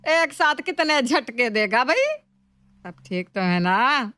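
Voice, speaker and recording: A woman's voice: on the high side, expressive, slow pace, bright, forceful tone, dry recording, full character voice. A woman voicing a pushy, coaxing middle-aged mother, exasperated and teasing. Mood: surprised, teasing.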